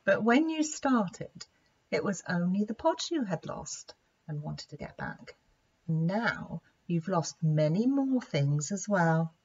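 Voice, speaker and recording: A woman voicing a wise, patient male lion who teaches gently and deliberately in a children's storybook. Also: on the low side, big pitch swings, slightly rough, muffled recording, heavily acted. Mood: pondering. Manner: slow, deliberate, instructive.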